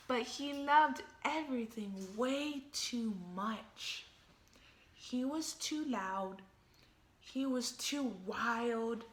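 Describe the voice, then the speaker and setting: Slow, high-pitched woman reading a storybook. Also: reverberant. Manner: expressive, thinking aloud, pausing to ask questions. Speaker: teacher reading a picture book aloud to children. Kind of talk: children's story read-aloud with questions to listeners.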